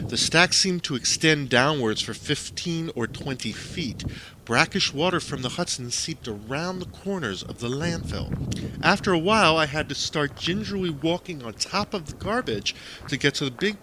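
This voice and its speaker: Male narrator, swooping expressive intonation, first-person audiobook storytelling, surreal tales, noticeable background noise and clicks. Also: on the high side. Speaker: narrator reading own dream stories.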